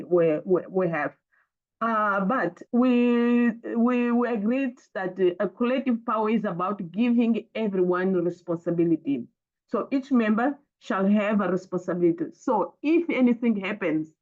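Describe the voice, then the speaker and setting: A woman whose first language is Portuguese shares her thoughts on a video call. She speaks in a halting way, with frequent fillers and restarts. Her audio comes through slightly dull and muffled. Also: medium pitch, darkish tone. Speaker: fellow sharing in a group call. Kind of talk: casual group discussion.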